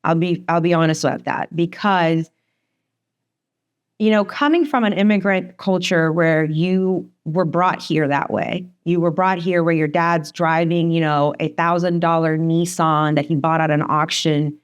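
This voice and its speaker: A woman in her forties with a slight Northeastern American accent talks fast and candidly, her voice sitting a little low. She laughs easily and keeps the conversation rolling. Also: fairly even intonation, clear, resonant tone, highs cut off. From Sweden. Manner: fast, chatty, laughs often. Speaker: guest, divorce attorney.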